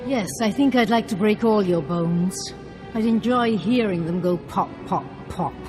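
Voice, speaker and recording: A woman's voice: medium pitch, measured pace, over music, natural voice. An actress playing a deranged female Jedi master in a video game, menacing and tormented, with a theatrical delivery. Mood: menacing, taunting. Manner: theatrical, unhinged.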